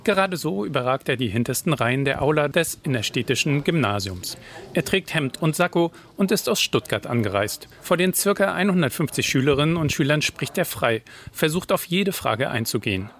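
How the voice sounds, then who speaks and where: A man's voice: fairly high pitch, expressive, fairly quick, fairly bright tone. A man narrating a scripted radio news feature as a reporter.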